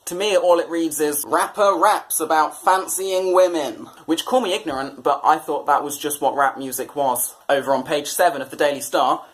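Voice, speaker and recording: Sardonic British man mocking the news. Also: on the high side. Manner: sardonic, mock-exasperated. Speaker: comedy presenter. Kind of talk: satirical scripted newspaper commentary.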